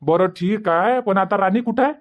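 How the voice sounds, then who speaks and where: A man's voice: sits high, moderate pace, lightly acted. A man voicing a worried, reluctant village father in a cartoon comedy, questioning and protesting with a Vidarbha Marathi accent in a dull recording. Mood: resigned.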